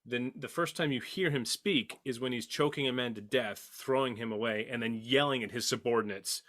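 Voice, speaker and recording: A man's voice: mid-range voice, brisk, fairly bright tone. Emphatic man chatting on a podcast. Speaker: podcast panelist. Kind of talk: casual discussion of a TV series.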